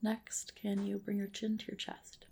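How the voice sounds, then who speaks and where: A woman performs an ASMR roleplay as a skin specialist at a spa. Her voice is very breathy and mostly whispered, with a crackle of vocal fry. She explains each step gently and reassuringly. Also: medium pitch, completely flat delivery, a little slow. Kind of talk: ASMR roleplay, personal attention.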